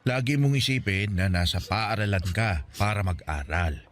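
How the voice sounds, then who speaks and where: A man's voice: on the low side, normal speed, close to an everyday voice. A man with a Filipino accent playing a poor, stern but caring older guardian in a radio drama, scolding and pleading earnestly. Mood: firm, advising. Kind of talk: Tagalog radio drama acting.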